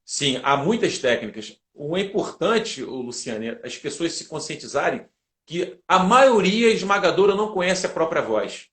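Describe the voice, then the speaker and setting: A man's voice: fairly high pitch, very animated, normal speed, fairly bright tone. A Brazilian man answering as an interview guest, explaining methodically like a teacher and building his points in lists. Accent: Brazilian Portuguese. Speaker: guest, public speaking teacher and lawyer. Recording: roomy, echoing sound.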